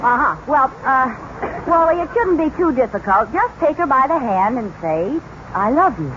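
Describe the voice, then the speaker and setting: High-pitched, animated wry wife. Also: female voice, moderate pace, over a noisy crowd. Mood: kindly. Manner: theatrical, wisecracking. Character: wry, kindly, exasperated married woman. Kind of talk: 1950s radio sitcom acting. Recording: muffled, noisy old radio recording.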